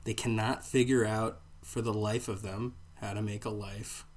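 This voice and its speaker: A man co-hosting a podcast in casual chat, loose and profane with deadpan jokes. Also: on the low side, normal speed. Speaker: podcast co-host.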